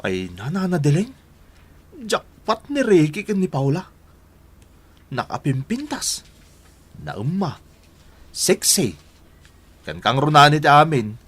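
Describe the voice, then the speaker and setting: A man's voice: a little high, very animated, slow pace, long pauses, fairly bright tone, breathy edge, heavily acted. A man acting a cheeky, gossipy neighbour in an Ilocano radio drama, with a playful, exclamatory delivery. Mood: teasing, delighted.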